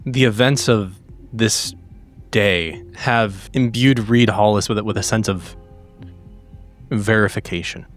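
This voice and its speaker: A man playing a tabletop game, narrating his character in deliberate, dramatic phrases. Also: lowish voice, faint music behind. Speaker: tabletop role-playing player. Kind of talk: literary improvised character narration.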